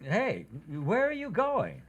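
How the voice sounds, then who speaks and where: A woman's voice: a little high, huge pitch swings, slow pace, lo-fi sound, full character voice. A woman playing a good-natured, exasperated husband, easygoing in manner, in an old-time radio sitcom. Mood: amused, easygoing.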